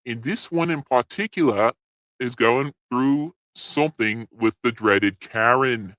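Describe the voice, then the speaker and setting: A man's voice: mid-range voice, measured pace, pushed and bright, no room sound, muffled recording. A man hosting an advice show for men, talking in a hearty, blokey, repetitive pep-talk manner. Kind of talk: comic persona talk show.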